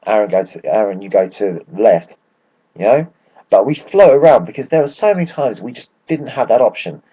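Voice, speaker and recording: Hoarse excitable football fan. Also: male voice, fairly low pitch, fairly quick, muffled recording. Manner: rambling, excitable, swears freely. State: hoarse from shouting. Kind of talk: casual match rant.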